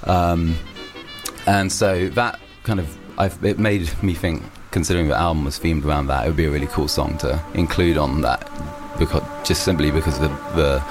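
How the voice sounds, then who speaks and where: A man's voice: extremely low pitch, steady tone, normal speed, music in the background. A young man, a musician, answering interview questions casually, rambling with many restarts and fillers. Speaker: musician guest.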